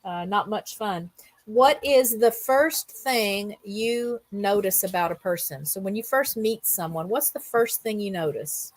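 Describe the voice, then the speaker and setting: A woman's voice: mid-range voice, a little slow, dry recording. A woman interviewer in a casual question-and-answer chat, talking in an informal conversational way.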